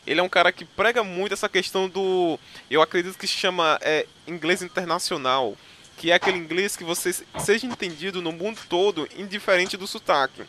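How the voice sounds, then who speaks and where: A man's voice: sits high, a little fast, pushed and bright, slight background noise. A man from Northeast Brazil with a Northeastern Brazilian accent, hosting a casual interview and talking animatedly with many fillers and restarts. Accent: Northeastern Brazilian Portuguese. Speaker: podcast host.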